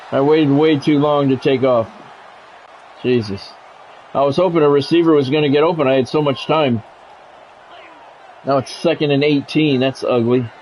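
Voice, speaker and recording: A middle-aged man doing sports video game play-by-play with blunt, sweary, exasperated reactions. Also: fairly high pitch. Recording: dull lo-fi audio, faint background noise.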